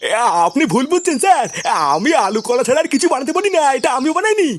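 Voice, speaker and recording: A man's voice: very high voice, sing-song, fast talker, a little hiss or hum. A man voicing a grumbling, querulous village grandfather in a comic cartoon dub. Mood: flustered. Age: older.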